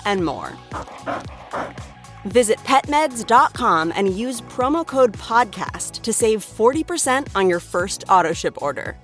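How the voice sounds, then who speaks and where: A woman's voice: mid-range voice, a bit bright. A woman delivering a scripted commercial voice-over as an announcer. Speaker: advertisement announcer.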